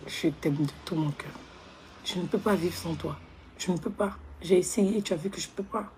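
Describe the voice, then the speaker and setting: A woman playing a remorseful young woman who begs her ex on the phone. She speaks softly and pleads, often close to a whisper. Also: lowish voice, moderate pace, a little hiss or hum, natural voice, low-quality recording. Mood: pleading. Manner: soft, hushed, pleading, often near a whisper. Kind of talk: comedy skit monologue.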